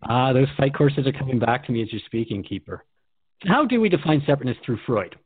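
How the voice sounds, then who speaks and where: A man's voice: mid-range voice, lively intonation, fast talker, breathy edge, phone-quality sound. A man hosting a radio show, speaking reflectively in scripted radio drama dialogue.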